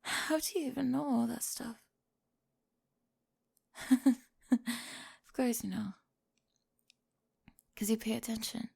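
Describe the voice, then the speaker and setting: Casting: adult woman as teen cheerleader bully gone vulnerable, high creaky voice, breathy near-whisper, emotional ASMR roleplay confession. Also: slow, long pauses, darkish tone, heavily acted. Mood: remorseful, vulnerable. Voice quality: breathy, often dropping to a whisper. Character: high school cheerleader bully, remorseful, vulnerable, lovestruck teenage girl. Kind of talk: ASMR romantic roleplay monologue.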